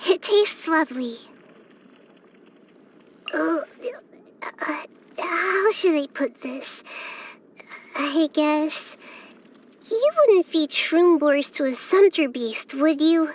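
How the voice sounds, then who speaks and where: A woman voicing a childlike girl god of wisdom for a video game, gentle, curious and thoughtful, speaking softly and carefully. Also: very high-pitched, animated, very slow, pauses often, exaggerated voice, low-quality recording. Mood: sweet, appreciative. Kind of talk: scripted video game character voice lines.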